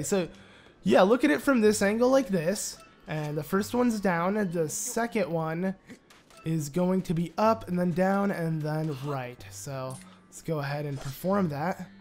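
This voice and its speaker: A hoarse man talks his way through a video game in a loose, rambling way, full of "like" and "uh." His sore throat leaves his voice raspy and prone to cracking, pitched a little high for a man. Faint music plays underneath. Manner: rambling, lots of "like" and "uh". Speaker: Let's Play commentator. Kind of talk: casual gameplay commentary.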